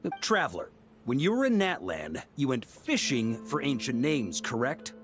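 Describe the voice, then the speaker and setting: A man voicing a seasoned, affable but resolute knight leader in a video game, musing and expressive. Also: medium pitch, normal speed, over music, close to an everyday voice. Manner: expressive, musing, hesitant asides. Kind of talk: English video game dialogue acting.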